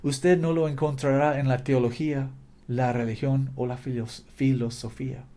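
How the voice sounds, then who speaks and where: A man's voice: medium pitch, level tone, normal speed, dark tone. A man preaching a fervent sermon in a language that is not his native one, exhorting listeners with repetition and occasional slips. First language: not Spanish.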